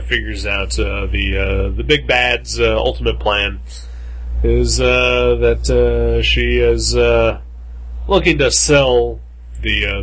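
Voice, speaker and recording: A man co-hosting a casual podcast chat, talking haltingly with many filler pauses and dry jokes. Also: lowish voice, a bit bright, rough recording. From half Puerto Rican family. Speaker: podcast co-host.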